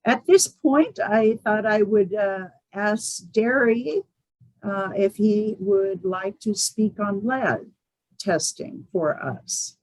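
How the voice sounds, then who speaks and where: Slow-paced woman moderating a meeting. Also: mid-range voice, darkish tone, no room sound, low-quality recording. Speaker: conference call moderator.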